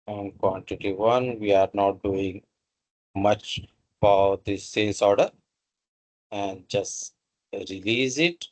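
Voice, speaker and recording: Slow-talking man giving a software tutorial. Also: fairly low pitch, low-quality recording. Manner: halting, instructional, pausing between short phrases. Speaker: software instructor. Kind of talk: step-by-step screen tutorial narration.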